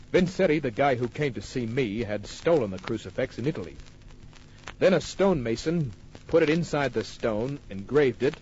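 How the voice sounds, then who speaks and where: Male actor as hardboiled private eye, mid-pitched, clipped deadpan narration, wry, dull vintage radio sound. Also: slight background noise, close to an everyday voice. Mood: matter-of-fact. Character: tough, wry hardboiled private detective telling his case. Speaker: lead narrator. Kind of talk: old-time radio detective narration. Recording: dull old-time radio recording.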